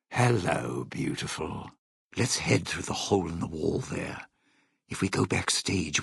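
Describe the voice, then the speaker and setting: Casting: a world-weary, sardonic showman in a video game; husky male voice, dry close sound, old-time slang and sly patter. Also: medium pitch, fairly bright tone, dry recording, heavily acted. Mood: smooth, conspiratorial. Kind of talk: video game companion voice lines.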